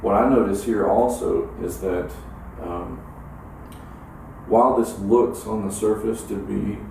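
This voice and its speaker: Male academic, deep, measured and halting, distant mic, heavy room echo. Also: steady tone, darkish tone. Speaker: academic expert giving an opinion. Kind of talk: unscripted expert explanation. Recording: echoey room, distant mic, clear noise.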